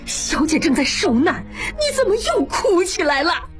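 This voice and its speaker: Narrator as a high-voiced, brisk old maidservant. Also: expressive, faint music behind. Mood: scolding. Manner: urging, chiding. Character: brisk older woman servant attending a birth.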